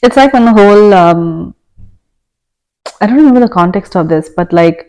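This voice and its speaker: A woman co-hosts a casual podcast, easy and conversational. She often hedges and uses fillers. Her voice sits at an everyday middle pitch. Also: lively intonation, normal speed, warm tone, rough recording. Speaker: podcast co-host.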